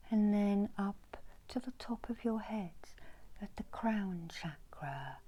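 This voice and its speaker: A middle-aged woman whispering a guided ASMR meditation in a breathy, hushed, measured voice with long pauses, as a reiki healer. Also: medium pitch, expressive. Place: small indoor room. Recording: clear background noise and ambience.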